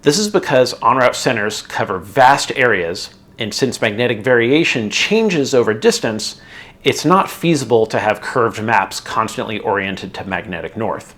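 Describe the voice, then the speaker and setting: A man's voice: medium pitch, lively intonation, normal speed, fairly bright tone. A man delivering scripted, methodical instructional narration, leaning on transition words as he explains step by step. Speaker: instructor, video host.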